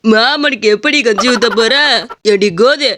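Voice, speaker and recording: A woman's voice: on the high side, measured pace, fairly bright tone, slight background noise. A woman playing a lovestruck, teasing village uncle with a blunt manner in a comic skit.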